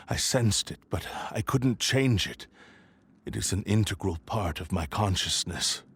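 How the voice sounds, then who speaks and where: An actor playing a proud, despairing sword spirit in a man's form in a video game, speaking gravely in formal, old-fashioned words. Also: fairly low pitch, animated, fairly bright tone, slight background noise, heavily acted. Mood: sorrowful, bitter. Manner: slow, grave, archaic formal speech.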